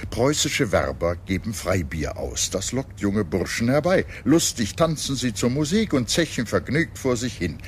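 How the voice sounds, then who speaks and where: A man reading a scripted history documentary in a measured, expressive storytelling manner. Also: mid-range voice, a little hiss or hum. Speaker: documentary narrator. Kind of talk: scripted documentary narration with dramatized quotes. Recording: music under the voice. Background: background music.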